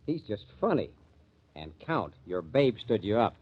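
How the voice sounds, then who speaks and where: An actor playing a bitter, wisecracking diner worker in a radio drama, slangy and sarcastic. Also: male voice, lowish voice, sing-song, slow pace, slightly rough, full character voice. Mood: mocking. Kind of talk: 1950s radio drama acting. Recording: dull vintage radio recording.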